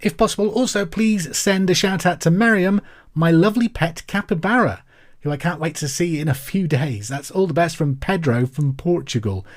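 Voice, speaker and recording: A man's voice: fairly high pitch, normal speed. An English man hosting a quiz podcast, chatting in an upbeat, friendly, conversational way as he presents and reads out messages. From England. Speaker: podcast host and quizmaster.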